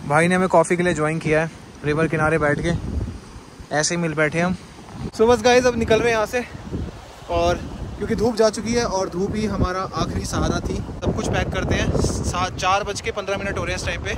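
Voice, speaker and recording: A man's voice: high-pitched, fairly even intonation, fairly quick. A young man chatting upbeat to viewers in a travel vlog, outdoors by a river in the snow. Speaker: travel vlogger. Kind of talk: casual vlog chat. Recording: outdoor recording with loud wind noise.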